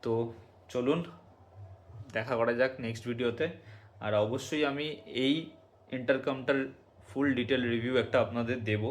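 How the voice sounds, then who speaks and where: A man giving a casual hands-on tutorial, explaining step by step and speaking directly to viewers. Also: mid-range voice. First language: Bengali. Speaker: motovlogger and product reviewer.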